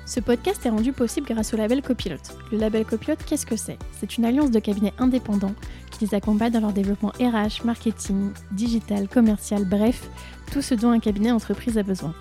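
A woman's voice: a little high, steady tone, brisk, mellow, faint music behind. A woman hosting a podcast, reading a scripted intro and then interviewing her guest with many hesitations and restarts. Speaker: podcast host. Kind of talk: casual interview.

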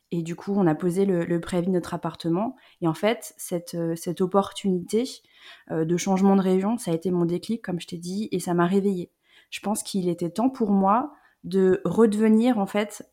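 A woman in her thirties from the Rhône-Alpes region, telling her personal story as an interview guest in a reflective way, often pausing with "euh". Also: medium pitch, darkish tone. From Rhône-Alpes, France. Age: 30s. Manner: reflective, many hesitant "euh" fillers.

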